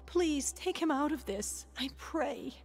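A woman's voice: sits high, animated, takes it slowly, darkish tone, full character voice. An actress playing an anguished, devout mother praying for her boy in a radio drama, pleading earnestly.

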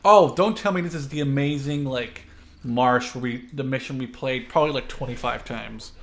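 A man's voice: mid-range voice, normal speed. A man talking casually with teammates while playing a video game. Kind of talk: casual co-op gaming chat.

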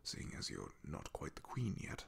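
A man playing an awkward, loyal knight in a soft ASMR fantasy roleplay, half-whispering in a breathy, gravelly voice with a hesitant, apologetic, deferential manner. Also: natural voice. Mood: apologetic, earnest. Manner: hesitant, apologetic, halting with throat clearing.

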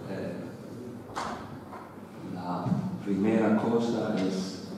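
A middle-aged man whose first language is Italian gives a lecture in halting Spanish from a panel table, with many fillers and restarts. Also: lowish voice, fairly even intonation. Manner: hesitant, many fillers and self-corrections. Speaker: guest lecturer. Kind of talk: academic lecture. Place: large lecture hall, seated at a panel table. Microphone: table microphone. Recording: roomy hall echo, distant mic, faint hiss.

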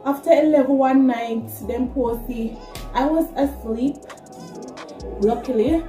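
High-voiced young Jamaican woman, echoey room. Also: unhurried, warm tone. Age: 20s. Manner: chatty, conversational, addressing viewers directly. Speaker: vlogger, nursing student. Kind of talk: casual vlog talk to camera. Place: small plain room indoors. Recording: echoey room, music behind. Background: background music.

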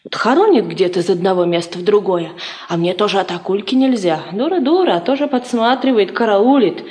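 A woman's voice: medium pitch, lively intonation, fairly quick, darkish tone, lightly acted. A woman acting an anxious, worn-out peasant wife in a Soviet radio play, with rustic, lamenting speech. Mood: weary, anxious. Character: anxious, worn-out peasant wife plotting against her husband. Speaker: radio drama actress. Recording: 1950s radio play recording.

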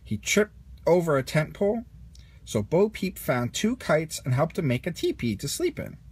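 A man narrating a children's storybook as an expressive read-along. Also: fairly high pitch, moderate pace, slightly rough. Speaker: storybook reader.

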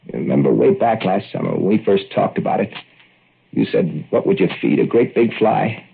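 An actor playing a brooding small-town American eccentric in 1940s radio drama, with halting, folksy, ungrammatical speech. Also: male voice, on the low side, lively intonation, vocal fry, a little hiss or hum. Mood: haunted. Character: brooding small-town eccentric who breeds giant flies. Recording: muffled old radio recording.

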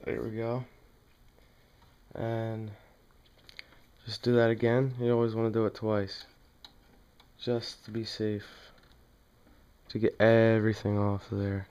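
A man giving a casual hands-on tutorial at home, talking plainly and haltingly as he works. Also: lowish voice, monotone, darkish tone, smooth, highs cut off. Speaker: demonstrator in a home how-to video. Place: small dim room indoors at home.

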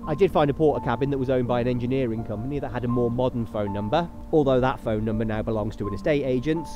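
A man's voice: medium pitch, fast, music in the background. A man in his thirties touring derelict sheds, with wry, chatty commentary and voice-over. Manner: wry, chatty, marvels at decay. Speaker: urban explorer vlogger. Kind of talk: on-location exploring commentary and voice-over narration.

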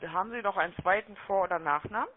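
A woman making a phone sales pitch, chatty and persuasive, often checking for agreement, heard over a telephone line. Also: fast, slight background noise. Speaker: telemarketing caller. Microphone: telephone call.

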